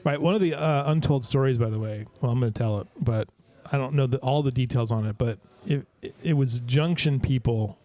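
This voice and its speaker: Halting, rambling man hosting. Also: medium pitch, mellow. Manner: rambling, halting, many restarts. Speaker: host. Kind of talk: casual interview.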